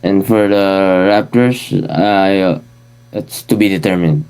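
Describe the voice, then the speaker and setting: A young man, co-hosting a sports podcast, calm and a bit hesitant. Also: low voice, slow, clear, resonant tone. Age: 20s. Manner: calm, hesitant, repeats words. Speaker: podcast co-host. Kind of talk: casual sports podcast chat.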